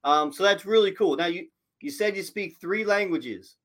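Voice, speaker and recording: A man's voice: high voice, normal speed. Easygoing man hosting a podcast. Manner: relaxed, filler-heavy questioning. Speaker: podcast host. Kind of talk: casual interview chat.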